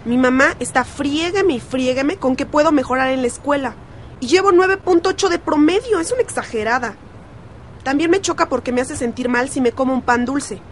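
A woman's voice: high voice, brisk, a little hiss or hum, lightly acted. An actress playing a fed-up, opinionated teenage girl with a Mexican accent, griping in slang in a radio soap. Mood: exasperated. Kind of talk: radio soap opera acting.

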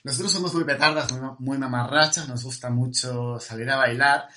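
A young man from Asturias co-hosting a podcast, relaxed and playful, with campy asides. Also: medium pitch, fairly quick. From Asturias, Spain. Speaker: podcast co-host. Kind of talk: casual podcast chat.

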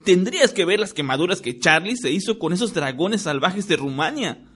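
A man's voice: high-pitched, lively intonation, normal speed, a bit bright, breathy edge, voice pushed up, heavily acted. A man reading a story, voicing an emphatic, boastful teenage schoolboy. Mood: explaining.

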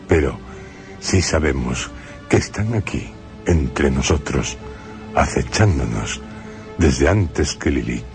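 Male narrator, Spanish accent, radio studio; deep voice, slow and measured, ominous tone. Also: lively intonation, over music. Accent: Spain Spanish.

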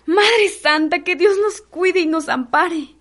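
A woman's voice: sits high, moderate pace. A woman with a Mexican accent playing a pious, superstitious, fearful housemaid in a melodramatic radio soap opera. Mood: frightened.

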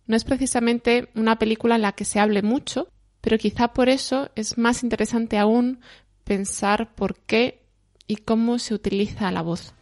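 A woman's voice: fairly high pitch, fairly even intonation, normal speed, darkish tone. A woman hosting a film programme, narrating and putting questions to guests. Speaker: programme host and interviewer. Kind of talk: scripted narration and interview questions.

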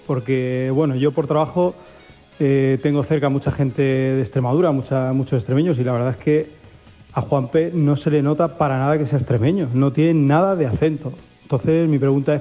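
A man's voice: a little high, muffled recording. A man asking a casual question in a voice message sent to a podcast. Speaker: listener sending audio.

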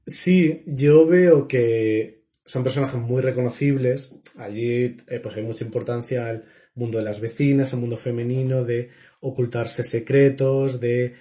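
Man, young adult, from La Mancha, mellow warm voice, measured, hesitant fillers. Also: medium pitch, steady tone. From La Mancha, Spain. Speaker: podcast co-host. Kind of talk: casual film chat.

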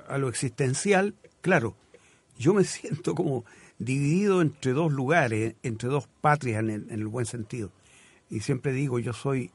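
A Chilean man with a mid-pitched voice speaks as a guest on a radio literary programme. He is reflective and halting, reaching for the next word and settling on literary phrases. The sound is dry and close. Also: husky, no echo. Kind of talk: live radio interview, reflective conversation.

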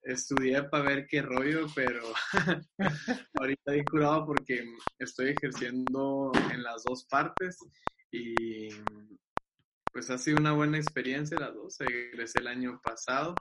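A young man talks eagerly, laughing easily and doubling back on his words. His voice sits in a middle register. A loud steady hiss runs under the video-call audio. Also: medium pitch, unhurried. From Mexico. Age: 20s. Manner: enthusiastic, laughs often, repeats words. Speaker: guest. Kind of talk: casual video-call chat.

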